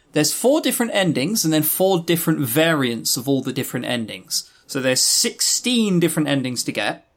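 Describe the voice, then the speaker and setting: A man hosting a podcast in casual, rambling review talk, wry and self-deprecating, swearing freely and stressing key words. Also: a little high. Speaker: podcast host reviewing visual novels.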